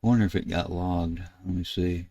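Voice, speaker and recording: An older American man with a low, creaky voice talks through a computer demonstration. He speaks casually and haltingly, thinking aloud as he goes. His delivery is flat, with little rise or fall. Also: no room sound. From United States. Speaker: ham radio video host. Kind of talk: casual screen-share tutorial narration. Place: indoors at a computer desk.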